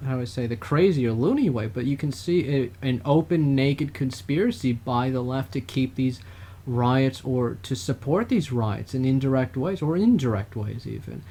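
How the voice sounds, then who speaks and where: A man's voice: medium pitch, moderate pace, warm tone, breathy edge. Man casually talking politics. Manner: mocking, mimics opponents in a raised voice. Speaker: podcast co-host. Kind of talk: political talk show chat.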